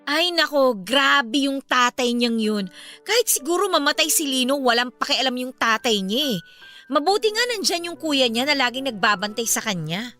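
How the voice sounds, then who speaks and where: A woman's voice: sits high, moderate pace. An actress playing a chatty, candid young woman with a Filipino accent, confiding as a sympathetic friend in a radio drama. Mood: exasperated, concerned. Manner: expressive, conversational, emphatic. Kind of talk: Tagalog radio drama dialogue. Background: music under the voice.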